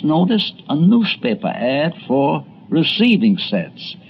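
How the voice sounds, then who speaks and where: A man's voice: mid-range voice, a little hiss or hum. A man reading scripted narration for a radio documentary. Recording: dull lo-fi radio documentary audio.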